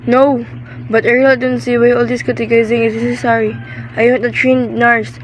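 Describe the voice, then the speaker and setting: A woman's voice: on the high side, little pitch movement, fairly quick, soft background music, close to an everyday voice, rough recording. A woman playing a nervous, demanding invalid wife in a radio thriller, agitated and insistent in her manner.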